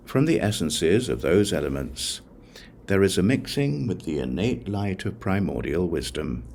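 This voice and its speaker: A man narrating a religious text as an audiobook, reading in a measured, scripted way. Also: deep voice, gravelly, slight background noise.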